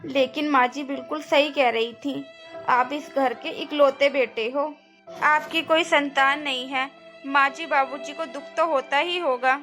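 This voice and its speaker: A young cartoon daughter-in-law, played by a woman, speaking in a clearly high voice. She is respectful and earnest, pleading her case with a worried air. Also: steady tone, a little slow, a bit bright, soft background music. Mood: sad. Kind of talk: cartoon family drama acting.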